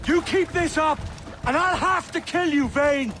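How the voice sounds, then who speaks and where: Exasperated pirate, very high-pitched, distant. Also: male voice, unhurried, loud music behind the voice. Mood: furious. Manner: heated, exasperated, cursing. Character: exasperated, hard-bitten male pirate, angry yet reasoning. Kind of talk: dramatic video game acting.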